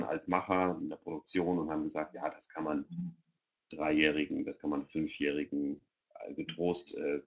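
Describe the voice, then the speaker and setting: A man's voice: low-pitched, fairly bright tone. A middle-aged man giving explanatory answers as a panelist in an online Q&A, speaking through a headset. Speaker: audio producer for children, panelist. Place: indoors at home, video call. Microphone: headset on a video call. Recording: dull, lo-fi video call audio.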